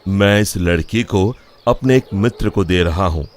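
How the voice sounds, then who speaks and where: Man acting a slow, insistent village father. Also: sits low, slight background noise, pitched below the performer's own voice. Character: village father, stubborn, doting on his son.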